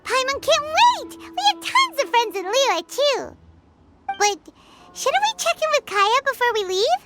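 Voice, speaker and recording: A tiny childlike fairy from an anime game, bubbly and excitable. The voice is extremely high and squeaky, with prominent music playing behind it. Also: female voice, unhurried, exaggerated voice. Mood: eager. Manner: squeaky, bubbly, refers to self in third person. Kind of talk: anime-style video game voice acting. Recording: loud music under the dialogue.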